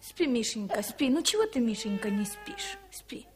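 An actress playing a mournful young child's mother, speaking in a drawn-out, crooning way in a 1960s Soviet radio play. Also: high voice, sing-song, crowd noise. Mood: soothing. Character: mournful mother lulling her baby with Romani lullaby.

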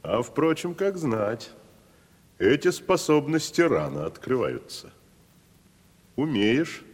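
An actor playing a pompous, worldly wandering gentleman who declaims theatrically in a classic Russian radio drama. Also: mid-range voice, very animated, takes it slowly, breathy edge, heavily acted. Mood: mocking, philosophical. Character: worldly, theatrical wandering gentleman, grandiloquent nephew of a rich landowner. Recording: long hall reverb.

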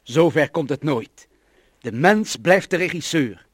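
A man's voice: on the high side, animated, a little slow, breathy edge, no echo, clean studio sound. An actor playing a meek, earnest male clerk in a radio play, deferential and hesitant. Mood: doubtful, protesting.

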